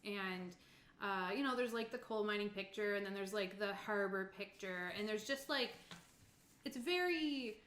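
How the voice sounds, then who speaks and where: A woman running a tabletop game as game master, describing scenes informally and haltingly, piece by piece. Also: mid-range voice, a bit bright, a little hiss or hum. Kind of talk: improvised tabletop game narration. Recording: roomy, echoing sound.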